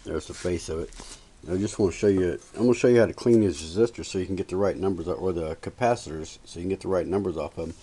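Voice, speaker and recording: A man's voice: low voice, fast, dark tone, slightly rough. An older man giving a casual, unscripted hobby tutorial in a folksy, plain-spoken, instructional way. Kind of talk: casual unscripted how-to demonstration. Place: cluttered indoor home workbench. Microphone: handheld camera's built-in microphone. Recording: noisy amateur camera audio with handling clicks.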